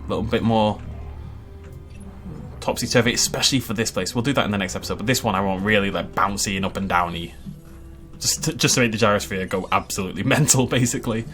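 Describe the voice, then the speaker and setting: A man's voice: fairly low pitch, quick pace, a bit bright, faint music behind. A man giving casual, chatty commentary while building a park in a video game, laughing often and making playful asides. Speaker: game channel host.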